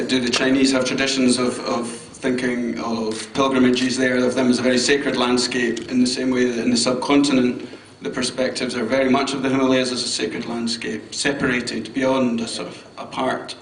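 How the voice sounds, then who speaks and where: Moderator guy, monotone and gravelly, echoey hall, mic far away. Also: medium pitch. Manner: halting, circling phrasing. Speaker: panel moderator. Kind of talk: asking a long question. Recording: roomy distant hall mic with faint noise.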